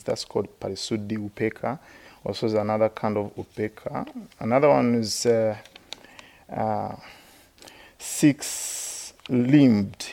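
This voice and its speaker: A middle-aged man from Uganda, a Buddhist monk, giving a halting, explanatory dharma talk with many fillers at a meditation retreat. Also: lowish voice, creaky, darkish tone. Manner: laughs while telling stories.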